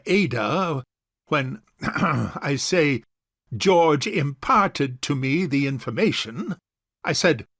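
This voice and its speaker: An actor playing a pompous, self-righteous rich old bachelor uncle in a theatrical period farce. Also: male voice, on the high side, fairly bright tone, no room sound, clean studio sound, full character voice. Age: older. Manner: pompous, deliberate, orating. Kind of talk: theatrical period farce audio drama acting.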